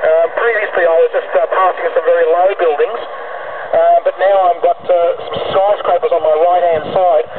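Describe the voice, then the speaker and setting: A man's voice: sits high, fairly even intonation, moderate pace. A man, an amateur radio operator, chatting casually over a two-way radio from a vehicle. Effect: two-way FM radio transmission. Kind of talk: casual ham radio chat. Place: in a moving vehicle. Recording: very noisy, distant, tinny radio link.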